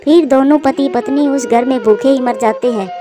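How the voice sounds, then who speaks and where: A woman's voice: high voice, normal speed, over music. A woman reading scripted narration for a children's moral story.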